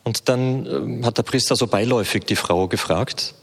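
A man's voice: medium pitch, moderate pace, creaky, husky. A man interpreting a sermon consecutively, fluent but with frequent hesitation sounds. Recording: reverberant hall.

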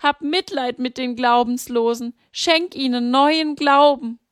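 A woman's voice: fairly even intonation, measured pace, no echo, studio quality. A woman voicing Mary, a grieving, devout mother who pleads in a raised, anguished voice. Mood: prayerful. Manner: pleading, anguished, high-pitched.

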